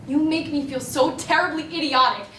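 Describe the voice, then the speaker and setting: A woman's voice: sits high, normal speed, fairly bright tone, slight background noise. A young woman acting in a stage play as Marie, a partner worn down by belittling, speaking with bitter emotion, heard from far off in an echoing hall. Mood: resentful. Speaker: student actor. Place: school stage. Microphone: distant audience camera. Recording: echoey stage recorded from far off.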